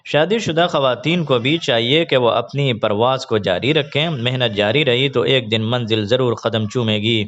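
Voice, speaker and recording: A man reads scripted news in a formal, measured announcer manner. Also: mid-range voice, a bit bright. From India. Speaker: news presenter. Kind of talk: scripted news reading in formal Urdu register. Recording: dull lo-fi online video audio.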